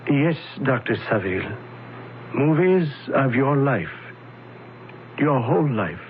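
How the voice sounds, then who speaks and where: A suave, mysterious film executive. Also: male voice, mid-range voice, expressive, slow pace. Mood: assured. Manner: smooth, courteous, quietly persuasive. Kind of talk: 1970s radio drama acting. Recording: lo-fi old radio broadcast with faint hiss.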